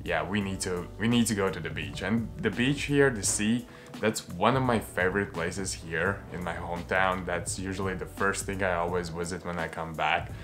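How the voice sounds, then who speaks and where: A man's voice: deep voice, normal speed, a bit bright, faint music behind. A young man from Latvia, chatting casually and joking through a travel vlog with a few swear words. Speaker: vlogger.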